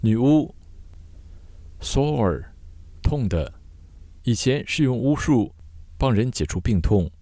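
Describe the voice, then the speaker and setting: A man teaching vocabulary word by word in measured, short separated phrases, as a scripted lesson. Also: medium pitch, very animated, mellow, dry recording.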